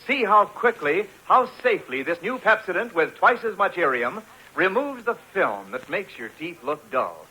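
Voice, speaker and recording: A man's voice: sits high, very animated, moderate pace, pushed and bright, breathy edge, low-quality recording. An American man reading a 1940s radio advertisement as an emphatic, salesman-like announcer on an old broadcast. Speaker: commercial announcer.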